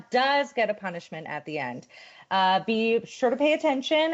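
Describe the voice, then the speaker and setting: A woman's voice: a little high, dull audio. A woman hosting a live dating game show from home over a video call, teasing her guests playfully. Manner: playful teasing, quick laughs. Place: home at her parents' house.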